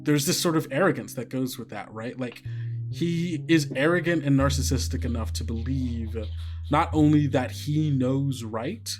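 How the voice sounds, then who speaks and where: A man's voice: medium pitch, moderate pace, darkish tone, faint music behind. A man speaking casually and agreeably as the game master of a tabletop roleplaying game. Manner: agreeable, conversational, often affirming players.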